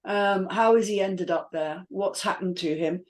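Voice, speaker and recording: A woman's voice: mid-range voice, measured pace. A woman hosting a panel, giving casual feedback with hesitant, tentative phrasing. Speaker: panel host.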